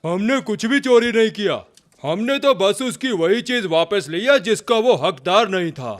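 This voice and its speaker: An actor dubbing a sly, boastful dwarf craftsman with a cocky, quick-witted manner. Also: sits high, lively intonation. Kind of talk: Hindi game dub.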